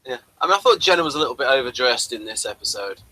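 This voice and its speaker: A man's voice: medium pitch, animated, rapid-fire, bright, forceful tone, low-quality recording. A man hosting a casual review chat, explaining things and giving his opinions. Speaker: podcast host reviewing classic TV episodes.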